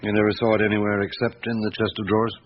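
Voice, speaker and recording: Guy acting a patient police inspector, really low voice, keeps pressing with questions, old radio drama, muffled sound. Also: level tone, close to an everyday voice. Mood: probing, insistent. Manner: measured, persistent questioning. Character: patient, probing male police chief inspector in Paris. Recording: muffled, noisy vintage radio recording.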